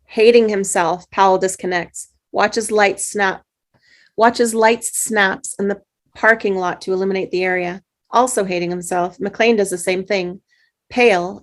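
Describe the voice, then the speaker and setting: A woman reads a film script's scene directions aloud at a table read. Her delivery is steady and plain, with the odd stumble and restart. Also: mid-range voice. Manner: steady reading with small slips and restarts. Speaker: narrator reading stage directions.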